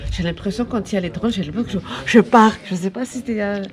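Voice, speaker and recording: A woman's voice: medium pitch, fast talker. A woman chatting casually in a hesitant, emotional way, as someone moved by what she has seen. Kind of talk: casual chat. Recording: crowd chatter behind the voice.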